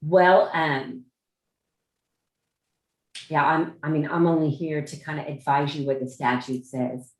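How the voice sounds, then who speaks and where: A woman chairing a meeting on a video call, informally explaining procedure in a halting way, often rephrasing her points. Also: sits low, steady tone. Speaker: chair of a judicial nominating commission meeting. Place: indoor room on a Zoom conference call. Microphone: video call microphone. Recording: roomy, echoing video-call audio.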